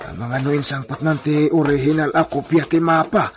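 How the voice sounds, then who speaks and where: A man's voice: fairly high pitch, fairly even intonation, fairly quick, lightly acted. An actor playing an eager, deferential farmhand who speaks hesitantly to his master in a radio drama. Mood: conspiratorial.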